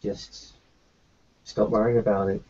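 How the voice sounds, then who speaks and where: A man in a tabletop roleplay, talking in character with a gravelly, raspy voice in a halting, reassuring way. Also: lowish voice, little pitch movement, dark tone. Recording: lo-fi muffled recording.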